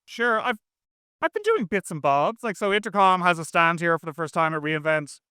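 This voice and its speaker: A man's voice: high voice, expressive, moderate pace, sharp tone, clean tone, dry recording, studio quality. A man chatting casually as an interview guest, talking in a rambling, slangy way. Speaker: interview guest, software engineer.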